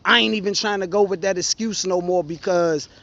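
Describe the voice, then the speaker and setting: A man, co-hosting a casual debate and telling stories animatedly. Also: fairly quick. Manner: animated storytelling, quotes others in high voices. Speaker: podcast co-host. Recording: dull lo-fi sound.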